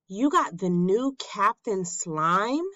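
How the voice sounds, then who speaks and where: A woman voicing an eager, pleading little boy mouse in a squeaky, excitable way for a children's storybook. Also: slow, no room sound.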